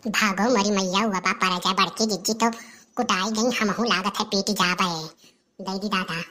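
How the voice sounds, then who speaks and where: A woman's voice: medium pitch, fairly even intonation, normal speed, sharp tone. A woman playing a timid, deferential younger village sidekick in a comedy cartoon, chattering and placating in an Awadhi accent. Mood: frightened, pleading. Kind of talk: rustic comedy cartoon dialogue.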